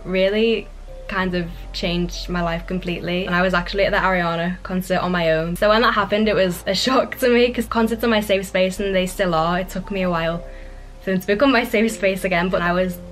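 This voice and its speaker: A woman's voice: lowish voice, steady tone, fairly quick, soft background music. A woman, speaking earnestly in an interview from her bedroom and laughing often. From England. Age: 20s. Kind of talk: sit-down interview. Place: bedroom with photo wall.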